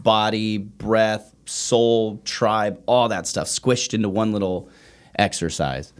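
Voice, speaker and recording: A man with a fairly low voice talks as a podcast host. His delivery is casual and conversational, with informal, joking banter. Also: fairly even intonation, takes it slowly, clear, resonant tone.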